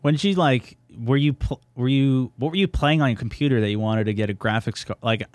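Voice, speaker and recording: A man hosts a gaming podcast with a medium-pitched voice and a close, dry sound. His delivery is casual and chummy, like someone talking informally with a buddy. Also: brisk, no room sound. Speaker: podcast host.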